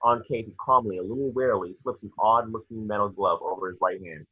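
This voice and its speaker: A man's voice: on the low side, brisk, slightly rough. A man narrating scripted action descriptions at an amateur table read, on a slightly dull home recording. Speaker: reader of stage directions.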